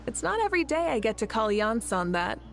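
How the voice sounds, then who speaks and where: A woman's voice: on the high side, very animated, normal speed, vocal fry. A woman playing a confident, teasing young leader in a video game, easygoing and playful. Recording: game music behind the voice.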